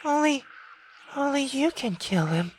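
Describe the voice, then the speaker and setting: A visual novel player, a woman, voicing a cruel, accusing mother in a venomous, drawn-out, commanding way. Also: sits high, big pitch swings, slight background noise, voice pushed up, rough recording. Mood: pleading, vengeful. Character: cruel, accusing mother scolding her daughter.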